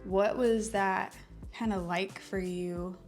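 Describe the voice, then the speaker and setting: A woman's voice: medium pitch, fairly even intonation, takes it slowly, darkish tone, faint music behind. Chatty young woman hosting at home. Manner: bubbly, chatty, many fillers. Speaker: host. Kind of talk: casual chat. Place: living room at home.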